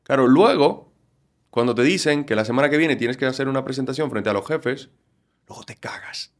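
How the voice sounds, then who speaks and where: A Dominican man with a Galician-tinged Spanish accent, explaining things in a lively way as a guest on an interview podcast. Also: mid-range voice, a little fast. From Dominican Republic. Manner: animated, explanatory, acts out quotes. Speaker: communication trainer, guest.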